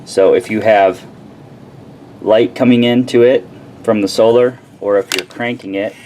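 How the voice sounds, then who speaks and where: A man talks through a product demonstration in a casual, plain-spoken way. His voice sits a little on the low side. The recording carries clear background noise as he explains things informally, like a reviewer. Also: steady tone, measured pace, a little mellow.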